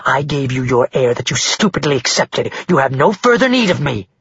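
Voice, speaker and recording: A woman's voice: extremely low pitch, big pitch swings, a little fast, breathy edge, no room sound, highs cut off, full character voice. A man voicing a cruel, haughty woman who talks with sarcastic contempt, in an audiobook reading. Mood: cold contempt. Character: cruel haughty tiger-shifter mother, shrill and sneering.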